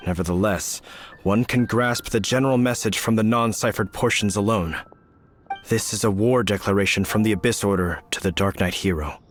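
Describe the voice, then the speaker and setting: Man as formal, low-voiced courteous knight. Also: moderate pace, soft background music, lightly acted. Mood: grave. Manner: formal, polite, earnest. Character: courteous, earnest young male knight officer. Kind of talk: video game voice acting.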